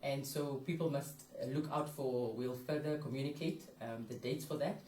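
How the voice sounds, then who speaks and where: A man being interviewed about his movement's upcoming events, speaking in a brisk, promotional way. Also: mid-range voice, fairly even intonation, darkish tone, roomy sound, distant mic, a little hiss or hum. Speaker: interview guest, movement leader.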